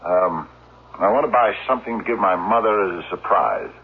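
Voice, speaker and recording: An actor in a 1970s radio drama playing a menacing, oddly courteous robber, haltingly polite yet coldly insistent. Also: male voice, sits low, narrow, tinny audio. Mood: hesitant, polite. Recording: noisy, thin vintage radio recording.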